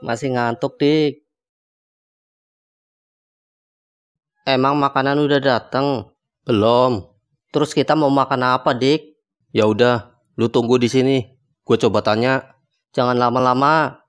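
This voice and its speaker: A man voicing a suspicious, curious young man in an animated horror comedy, talking in casual slang. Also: mid-range voice, normal speed, long pauses, smooth, close to an everyday voice. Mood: sleepy, casual.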